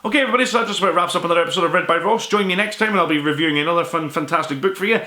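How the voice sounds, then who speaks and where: Male vlog host, young adult, casual and self-deprecating, brisk delivery with a bright edge, informal review style. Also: high voice. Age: 20s to 30s. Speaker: book review show host. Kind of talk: casual book review to camera. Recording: echoey room.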